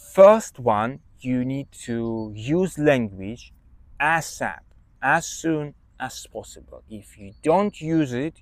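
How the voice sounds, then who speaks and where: A man's voice: mid-range voice, no echo. A man with a Russian accent, a native Russian speaker, hosting a podcast and chatting through study tips in an emphatic, conversational way. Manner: chatty, emphatic, checks in with "yeah?". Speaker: podcast host, English teacher. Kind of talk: casual advice monologue.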